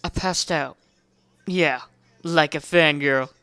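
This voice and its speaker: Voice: medium pitch, slow, bright, forceful tone, smooth, no room sound. A person giving an amateur dramatic reading of a first-person story, stumbling over words and correcting mid-sentence, with theatrical emphasis.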